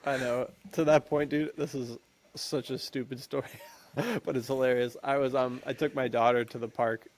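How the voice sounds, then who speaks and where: A man's voice: medium pitch, little pitch movement, normal speed, a little hiss or hum. A young American man co-hosting a podcast, telling stories in a slangy, jokey, casual way. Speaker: podcast co-host. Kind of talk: casual chat.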